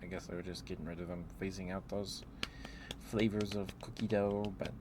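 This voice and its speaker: Low-pitched, soft-spoken man rambling, noisy recording. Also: steady tone, normal speed. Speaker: live streamer. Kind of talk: rambling ASMR livestream chat.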